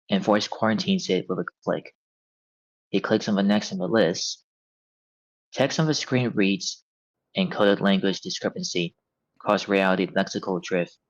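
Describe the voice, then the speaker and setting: Male narrator for script directions; lowish voice, scripted read, slightly muffled lo-fi audio. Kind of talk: reading stage directions at a table read. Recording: dull, band-limited audio.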